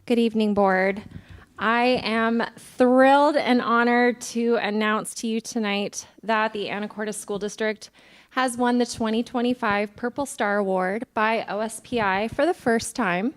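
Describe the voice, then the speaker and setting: A woman addresses a school board with a formal announcement. Her voice is somewhat high, and she sounds pleased. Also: fairly even intonation, unhurried. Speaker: school district staff presenter. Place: school board meeting room.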